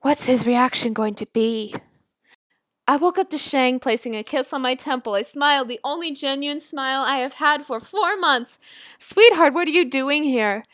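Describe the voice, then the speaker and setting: A woman reading a story aloud and breaking off for casual, giggly, animated reactions of her own. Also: high-pitched, moderate pace, dry recording. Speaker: video creator reading and reacting to fan fiction.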